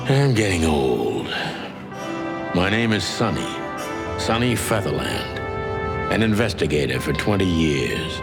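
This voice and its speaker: An actor playing a weary, cynical aging rooster detective in a noir game, gravelly and deadpan. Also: male voice, deep voice. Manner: world-weary, deadpan, hardboiled. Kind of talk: noir first-person narration. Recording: studio voice under loud music.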